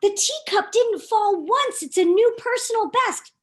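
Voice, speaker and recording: A proud, prim lady duck from a storybook, acted out by a woman reading aloud. The voice is high and swings widely in pitch. Everything comes out emphatic and a little huffy, as befits a creature fond of routine. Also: animated, measured pace. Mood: pleased. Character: prim, indignant, proud female duck who loves routine.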